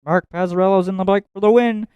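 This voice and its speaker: Track announcer: man, very high and crystal-clear voice, rapid rhythmic race call. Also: steady tone, a little mellow, no echo, muffled recording. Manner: rapid, rhythmic listing of positions and times. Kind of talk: live harness race call.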